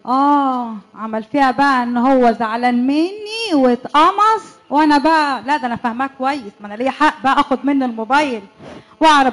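A young woman with an Egyptian accent playing a jealous, suspicious wife in a church radio comedy-drama, talking in a sharp, needling way. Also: sits high, lightly acted. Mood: suspicious muttering. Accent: Egyptian Arabic. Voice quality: sharp, needling, quick to accuse.

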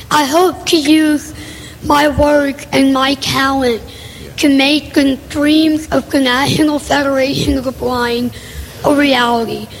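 A woman student gives a measured, formal speech to a convention. Her voice is high and her pace slow, and it rings out in a large echoing hall. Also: a little hiss or hum. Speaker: college student, scholarship finalist. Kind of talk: prepared speech.

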